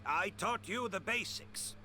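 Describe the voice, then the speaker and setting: An actor voicing a warm, modest older male mentor in a video game, with a humble, effusive, theatrical manner. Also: high-pitched, measured pace, slight background noise, heavily acted.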